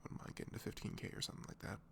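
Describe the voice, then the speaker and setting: A man's voice: very fast, fairly bright tone, slight background noise. A man introducing his audio roleplay in a casual, self-deprecating, informal ASMR style.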